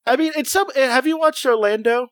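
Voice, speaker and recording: High-voiced man in playful chat. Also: a little fast, no echo, studio quality. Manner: playful, rambling with many fillers. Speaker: podcast co-host. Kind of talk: casual chat.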